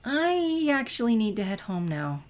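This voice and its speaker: Give this storybook character a high, eager voice: a nerdy teenage girl who loves stargazing played by a woman narrator. She gushes excitedly and gets flustered easily. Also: measured pace. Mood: sheepish. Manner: eager, gushing, stammering when flustered.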